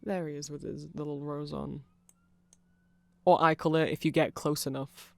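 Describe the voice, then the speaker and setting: A performer voicing a nerdy, awkward, eager vampire friend in a rambling, hesitant ASMR roleplay, halting and self-conscious. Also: medium pitch, little pitch movement, vocal fry, darkish tone, no echo, close to an everyday voice. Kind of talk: rambling ASMR roleplay, explaining fan lore to a listener.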